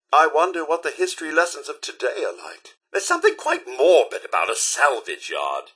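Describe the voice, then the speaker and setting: Loyal robot butler, British accent, prim, dryly sarcastic, lively swooping intonation, raspy edge, video game companion. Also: male voice, on the high side, full character voice, low-quality recording. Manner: formal, polite, theatrical, dryly sarcastic. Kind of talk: scripted video game character lines.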